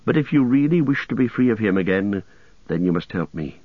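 A grave young hobbit, read by a man speaks quietly and sternly. The voice is low and husky. The recording is dull and dry. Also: expressive, brisk, no echo.

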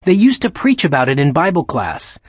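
An excitable, worried young man, as a man puts him on in an audiobook, his voice sitting higher and leaping around in pitch. He sounds husky and reacts quickly. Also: dry recording, studio quality. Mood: awed. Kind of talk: audiobook character voice.

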